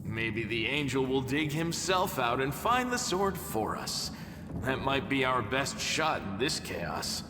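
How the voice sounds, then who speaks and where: A man's voice: mid-range voice, sing-song, takes it slowly. An actor playing a cocky, eager young tribesman in a video game, flippant and sardonic in manner. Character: wry young male mongrel underground tribesman, cocky and eager. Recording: echoey hall reverb. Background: background music.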